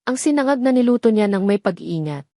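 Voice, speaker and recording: A woman's voice: a little high, animated, a little fast, dark tone, no echo, clean studio sound. A woman reading scripted dramatic story narration.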